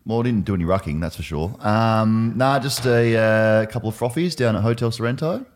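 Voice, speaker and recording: An Australian man co-hosting a casual podcast, jokey and quick to laugh. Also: on the low side. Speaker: podcast co-host. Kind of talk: casual chat.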